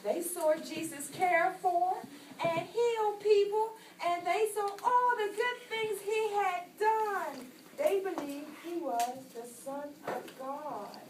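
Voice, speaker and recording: Woman doing a very high-pitched, earnest puppet girl, distant. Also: slow. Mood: earnest storytelling. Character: perky, earnest female puppet, scolding and encouraging a friend. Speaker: puppeteer in a children's church show. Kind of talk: children's puppet show dialogue. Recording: distant, echoey room with clear noise.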